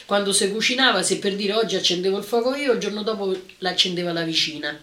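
Fast-talking elderly Roman woman. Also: medium pitch, fairly bright tone. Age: 70s or older. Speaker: eyewitness recalling wartime. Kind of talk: spontaneous oral testimony. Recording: roomy, echoing interview recording.

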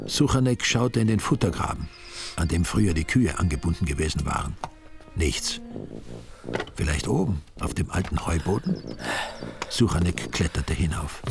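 A man's voice: low-pitched, animated, moderate pace, creaky, long pauses, background noise. A male narrator reading a radio drama in a dry, wry way.

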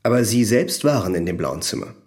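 An actor playing a persistent, methodical examining magistrate with a formal, probing manner in a German radio drama. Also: male voice, lowish voice, big pitch swings, brisk, a little mellow.

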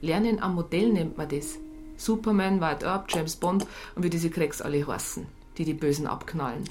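An actress playing a disillusioned police psychologist in a radio drama, blunt and sardonic. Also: female voice, deep voice, moderate pace, a little mellow, faint music behind, close to an everyday voice. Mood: resigned, sardonic. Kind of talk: naturalistic radio drama dialogue.

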